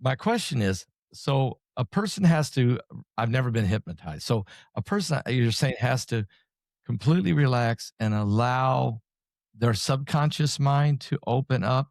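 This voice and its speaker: A man hosting a podcast, asking questions in a rambling, quick-paced way. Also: mid-range voice, lively intonation, dry recording, clean studio sound. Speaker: podcast host. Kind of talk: casual interview questions.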